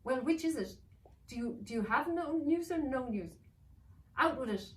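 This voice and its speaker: A woman playing a bullying dark villain in a storybook, contemptuous and commanding toward a servant. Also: sits high, lively intonation, normal speed, pitched above the performer's own voice. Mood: irritated. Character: scornful, bullying dark villain, master of an elf. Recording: roomy, distant mic with faint noise.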